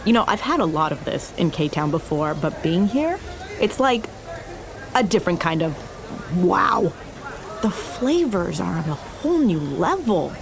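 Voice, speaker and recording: A woman's voice: lowish voice, very animated, unhurried, gravelly, background noise, heavily acted. An actress playing a sensible, self-doubting young woman in a romcom audio drama, earnest and questioning. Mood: earnest, fond. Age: young adult. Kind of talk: romcom podcast drama acting.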